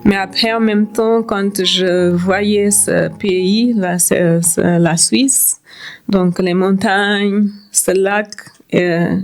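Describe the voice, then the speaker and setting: A woman's voice: mid-range voice, fairly even intonation, drawn-out words, faint music behind. A middle-aged woman from Cape Verde whose first language is Creole gives a personal testimony as a podcast guest, repeating words and phrases for emphasis.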